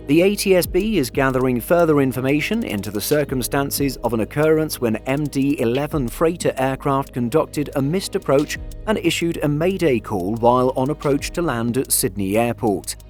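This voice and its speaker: A man reading scripted aviation news narration as a voice-over, in a measured, factual manner. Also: medium pitch, a little mellow. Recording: voice-over with music underneath. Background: background music.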